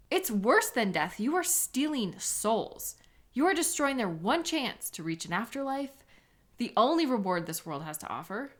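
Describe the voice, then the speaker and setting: A woman's voice: a little high, fairly bright tone. A woman playing an embittered, contemptuous police sketch artist with a sarcastic, scornful manner in a dramatic audio roleplay. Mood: angry, disgusted.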